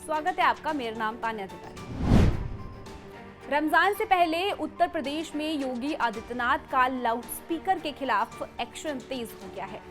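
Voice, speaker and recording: A woman's voice: high voice, animated, normal speed, a bit bright, faint music behind. A woman presenting scripted news in an emphatic, dramatic way. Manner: emphatic, dramatic delivery with rhetorical questions.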